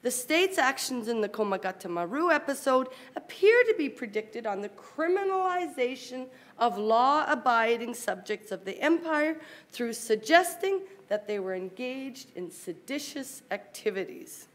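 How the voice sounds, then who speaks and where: Higher-pitched woman reading an academic paper. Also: big pitch swings, normal speed. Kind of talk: formal conference paper read aloud, with brief explanatory asides.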